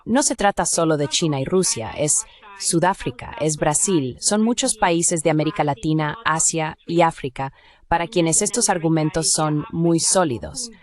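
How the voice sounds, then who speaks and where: A woman's voice: low-pitched, moderate pace, darkish tone. A woman speaking as an academic expert, giving explanatory answers in an interview.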